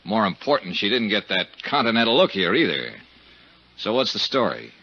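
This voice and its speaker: An actor playing a tough, shrewd insurance investigator with a sardonic, probing manner, in 1950s radio drama style. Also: male voice, lowish voice, very animated, moderate pace, slightly rough, exaggerated voice. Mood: probing, intent. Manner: sardonic, probing, hard-boiled. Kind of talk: 1950s radio drama acting and first-person narration. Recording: dull 1950s radio recording.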